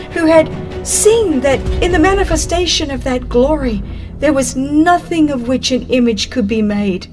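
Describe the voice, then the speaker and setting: High-voiced woman narrating solemnly over music. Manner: solemn, dramatic, deliberate storytelling. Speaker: audiobook narrator. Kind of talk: dramatized scripted narration of a religious history.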